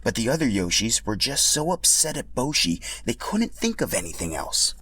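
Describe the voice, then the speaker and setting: A man's voice: medium pitch, very animated, moderate pace, a bit bright, a little hiss or hum. A man narrating a scripted story as a podcast storyteller.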